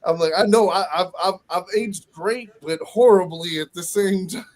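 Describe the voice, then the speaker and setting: A man's voice: high-pitched, normal speed. Laughing, stammering man in his thirties. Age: 30s. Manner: laughing, stammering, repeats words. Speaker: podcast guest. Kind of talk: casual chat.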